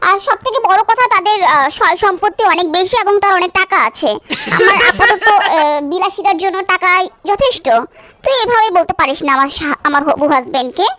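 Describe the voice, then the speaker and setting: Woman voicing a very high-pitched, vain young bride. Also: animated, fairly quick, sharp tone, a little hiss or hum, full character voice. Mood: huffy, defensive. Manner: boastful, haughty. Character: vain, money-minded eighteen-year-old bride, smug and boastful. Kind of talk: cartoon voice acting.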